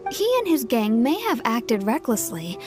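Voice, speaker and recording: A gentle mother in a fantasy game soothes her child. Her voice is fairly high and moves lively up and down. Clear game music plays under her. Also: normal speed. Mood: soothing. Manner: soothing, kind. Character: gentle young mother comforting her son. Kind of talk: game cutscene voice acting.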